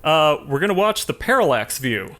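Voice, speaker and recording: A man's voice: fairly high pitch, sing-song, normal speed, bright, forceful tone. A man co-hosting a film podcast, talking casually and explaining his points as he goes. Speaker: podcast co-host.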